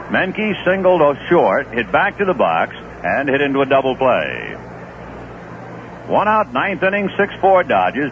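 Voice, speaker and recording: A man calling a baseball game live on radio, with relaxed, conversational play-by-play and chatty asides. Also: medium pitch. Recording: dull old radio broadcast with noise.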